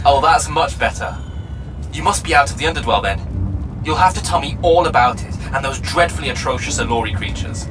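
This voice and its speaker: A man playing an excitable, chatty sidekick in a video game, with a flowery, over-dramatic manner. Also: mid-range voice, fairly quick, close to an everyday voice, rough recording. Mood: eager, chatty. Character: excitable, chatty, theatrical young male sidekick. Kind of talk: video game cutscene acting. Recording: loud music over the voice.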